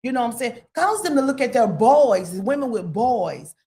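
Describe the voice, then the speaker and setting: A woman in a casual talk-radio discussion, rambling and emphatic, full of "you know" and sudden jumps in pitch. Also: very animated, normal speed, warm tone.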